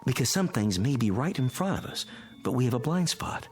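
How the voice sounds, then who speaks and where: An actor playing an analytical scientist friend in a radio drama, explaining strange phenomena in a deliberate, instructing manner. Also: male voice, medium pitch, big pitch swings, darkish tone, faint music behind, natural voice. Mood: explaining, intent. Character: calm analytical male physicist friend explaining a mystery. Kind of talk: modern radio drama acting.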